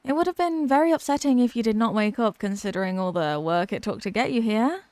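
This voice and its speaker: High-voiced, haughty mermaid princess, acted by a woman. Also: expressive, fast, darkish tone, no echo, lightly acted. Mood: sweetly condescending. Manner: condescending, sweetly smug, teasing. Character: obsessive, haughty young mermaid princess, possessive and condescending. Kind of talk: scripted roleplay ASMR audio drama.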